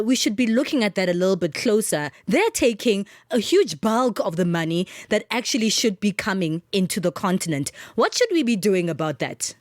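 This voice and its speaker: A woman's voice: mid-range voice, no room sound, studio quality. A woman hosting a radio current affairs interview conversationally. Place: radio studio.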